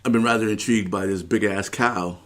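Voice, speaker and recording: A man's voice: fairly low pitch, steady tone, a little fast, heavy background noise. A man co-hosting a casual podcast, rambling through descriptions with incredulous questions and free swearing. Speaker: podcast co-host.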